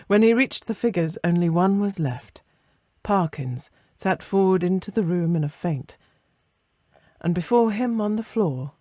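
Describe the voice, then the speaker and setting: A narrator reading a classic ghost story in a measured, literary and expressive way, letting phrases soften at the end. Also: medium pitch, no echo, studio quality.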